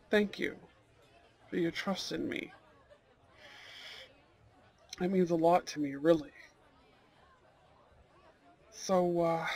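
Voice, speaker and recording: Male actor as young Goth blind date: higher register, slow delivery, sincere, hesitant, intimate ASMR roleplay. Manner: soft-hearted, hesitant, sincere.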